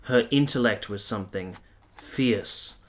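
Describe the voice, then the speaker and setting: A man's voice: lowish voice, unhurried, lightly acted. An actor playing a sober, disillusioned military man of the 1870s in a radio drama, reflective and formal in his wording. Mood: admiring, reflective. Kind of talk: period radio drama acting and narration.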